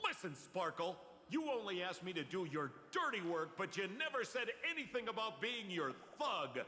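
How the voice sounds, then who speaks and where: A man's voice: high voice, sing-song, moderate pace, pushed and bright, slight background noise, exaggerated voice. A man voicing a sly, wisecracking male swindler in a video game, whiny and wheedling. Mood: complaining. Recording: reverberant game audio with music.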